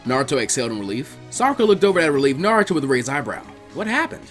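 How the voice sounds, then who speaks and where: A man narrating fan fiction aloud in an expressive, scripted storytelling style. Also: medium pitch, rapid-fire, soft background music.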